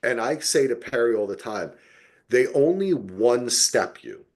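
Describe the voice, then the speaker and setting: A man's voice: mid-range voice, expressive, measured pace, darkish tone. A middle-aged man co-hosting a podcast, reviewing television casually with emphatic, playfully exaggerated storytelling. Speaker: podcast co-host.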